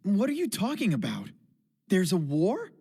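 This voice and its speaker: A woman's voice: medium pitch, wildly swooping pitch, normal speed, slightly rough, no echo, studio quality, exaggerated voice. A woman playing an earnest, bewildered and stubborn teenage boy in an English anime dub. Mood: puzzled. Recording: very loud music behind dialogue. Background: very loud music.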